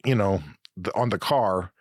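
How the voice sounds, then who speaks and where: A middle-aged man with a flu-raspy voice, co-hosting a casual podcast chat and rambling through explanations. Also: fairly low pitch, measured pace, dry recording, studio quality. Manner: rambling, self-correcting explanations. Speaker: podcast co-host.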